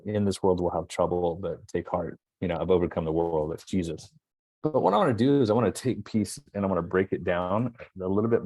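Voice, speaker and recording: Low, mellow-voiced man on a video call. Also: no room sound, rough recording. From United States. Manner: easygoing, many fillers and restarts. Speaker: guest speaker. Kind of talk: motivational webinar talk. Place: airport with people nearby.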